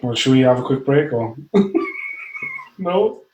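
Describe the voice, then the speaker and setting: A man's voice: mid-range voice, expressive, unhurried, muffled recording. A man co-hosting a podcast, laid-back, mostly giving short replies. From Wales. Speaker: podcast co-host. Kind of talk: casual podcast chat. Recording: roomy echo, slight noise.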